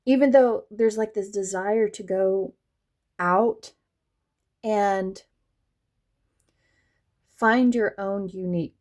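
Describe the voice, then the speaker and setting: Chatty tarot reader, light Southern accent. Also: female voice, medium pitch, slow, long pauses, darkish tone. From Southern United States. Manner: chatty, addresses viewers directly, laughs often. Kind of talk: tarot reading video.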